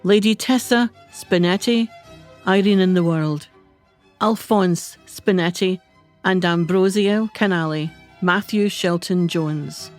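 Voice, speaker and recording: A woman's voice: lowish voice, slow pace. A woman reading scripted credits as an announcer. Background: violin music.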